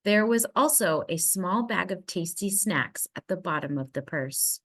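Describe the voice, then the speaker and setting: A woman narrating a children's storybook aloud in an expressive manner with dramatic emphasis. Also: lowish voice, measured pace. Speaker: storybook reader.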